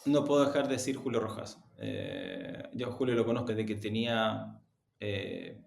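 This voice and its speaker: A man answering interview questions in a reflective, halting way, repeating words for emphasis. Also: lowish voice, sing-song, gravelly. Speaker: executive interview guest. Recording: roomy, echoing sound.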